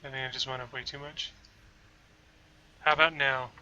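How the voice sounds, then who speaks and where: A man's voice: medium pitch, flat delivery, moderate pace, pushed and bright, dull audio, a little hiss or hum. A young man streaming a game at home, chatting casually with viewers as he plays and rambling about what he is doing. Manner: chatty, rambling, talks to chat while playing. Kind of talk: casual gameplay livestream chat. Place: home room. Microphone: desk microphone.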